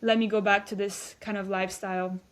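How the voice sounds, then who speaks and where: A woman's voice: mid-range voice, level tone, brisk. A woman in her twenties from Belgium, a guest chatting casually with hesitant restarts and fillers. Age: 20s. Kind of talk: casual interview chat.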